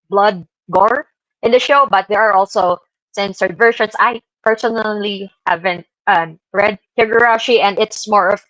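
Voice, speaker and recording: A woman's voice: medium pitch, a bit bright, dry recording, low-quality recording. Woman casually presenting, dry close sound. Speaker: podcast presenter. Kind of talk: informal slide presentation to co-hosts. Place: online live stream call.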